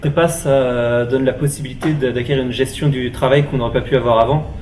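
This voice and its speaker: A twenty-year-old male student from the Paris region, answering interview questions in a measured way. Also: medium pitch, fairly even intonation, a little mellow, a little hiss or hum. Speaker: prep school student. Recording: echoey room from a distant mic.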